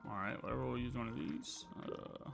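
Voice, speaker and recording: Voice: mid-range voice, steady tone, a little fast, vocal fry. A game streamer reacting casually and bluntly, reading game text aloud and muttering sarcastic asides. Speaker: streamer playing a video game. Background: game music.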